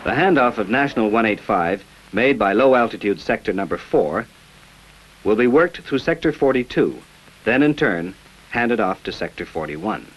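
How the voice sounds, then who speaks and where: A man's voice: lowish voice, animated, normal speed, low-quality recording. A man reading scripted explanatory narration in an informational film.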